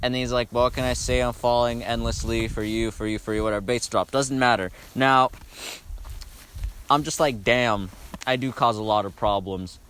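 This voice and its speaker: A man's voice: mid-range voice, steady tone, normal speed, fairly bright tone, a little hiss or hum. A young man talking casually and bluntly about songs as a video host, swearing freely.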